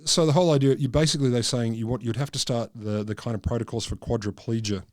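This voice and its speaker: A middle-aged man co-hosting a casual science podcast, explaining from notes in a halting, dryly joking, sweary way into a close microphone. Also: medium pitch, fairly even intonation, a little mellow, no echo. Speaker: podcast co-host. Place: small book-lined room, indoors. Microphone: close podcast microphone, headphones.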